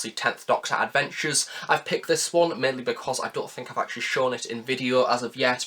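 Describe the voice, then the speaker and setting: A man's voice: medium pitch, sharp tone. A man hosting a review video, talking through recommendations in a conversational narration.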